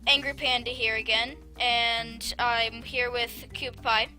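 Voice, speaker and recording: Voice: medium pitch, flat delivery, takes it slowly, sharp tone. A gameplay commentator chatting casually over a video game, rambling and hesitant with many restarts. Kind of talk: casual let's-play chat. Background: game music.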